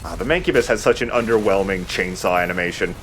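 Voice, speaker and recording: Low-voiced man chatting over gameplay. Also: fast, fairly bright tone, faint music behind. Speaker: let's play host. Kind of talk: casual gameplay commentary.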